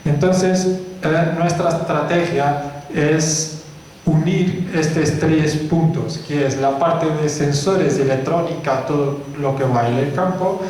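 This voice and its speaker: A middle-aged man from Portugal with a Portuguese accent, giving a conference presentation on a handheld microphone, speaking in a halting way with many fillers and restarts. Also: fairly high pitch, steady tone, a little mellow. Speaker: company founder giving a talk. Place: large echoey hall. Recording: very echoey hall with faint noise.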